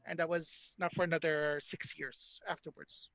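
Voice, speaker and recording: A man's voice: on the high side, level tone, normal speed, gravelly, sharp tone, no echo. A man from Hawaii, Hawaiian his first language, hosting a panel discussion in an earnest, thoughtful way with many fillers. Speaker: panel host.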